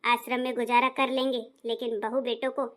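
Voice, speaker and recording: A woman's voice: a little high, level tone, fairly quick, fairly bright tone, close to an everyday voice. A woman voicing a kind, agreeable elderly mother-in-law in a cartoon, speaking in a homely way. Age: older. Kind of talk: cartoon voice acting.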